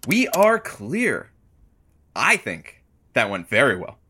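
A man's voice: mid-range voice, huge pitch swings, slow, pushed and bright, breathy edge, heavily acted. A man playing a teasing, matchmaking radio producer and sidekick in an audio drama. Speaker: co-host. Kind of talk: semi-improvised audio drama.

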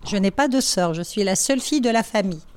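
A woman in her sixties from Algeria, answering schoolchildren's questions in a classroom, thoughtful and halting with many pauses. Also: mid-range voice, expressive, a little mellow, slight background noise. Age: 60s. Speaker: women's rights activist answering pupils' questions.

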